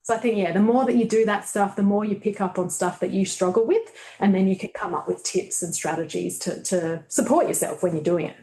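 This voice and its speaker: A woman's voice: mid-range voice, fairly quick, hardly any pauses, mellow, roomy sound. A woman, a guest on a webinar, talking through presentation tips in a thoughtful, searching way over a video call. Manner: thoughtful, many fillers and restarts. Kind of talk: casual webinar conversation.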